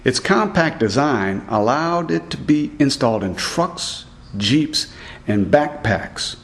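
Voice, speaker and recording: A man narrating a scripted report as a student reporter, reading aloud in a deliberate way. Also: medium pitch, very animated.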